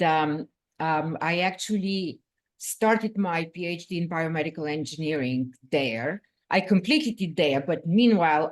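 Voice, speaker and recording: Female guest from Bulgaria, somewhat low voice, lively with plenty of fillers. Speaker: guest scientist. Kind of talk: interview answers.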